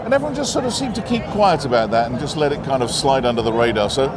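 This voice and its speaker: A man, an actor, chatting in an interview in an animated, joking, self-mocking way. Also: medium pitch, fast talker. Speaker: actor guest. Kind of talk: casual interview chat. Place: busy convention. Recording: noisy convention floor.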